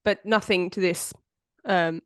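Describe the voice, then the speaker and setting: A woman's voice: on the low side, steady tone, a little slow, fairly bright tone, dry recording, clean studio sound. Congested woman, chatty podcast host. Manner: chatty, rambling, with frequent fillers. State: congested, bunged-up voice. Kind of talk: casual true-crime storytelling chat.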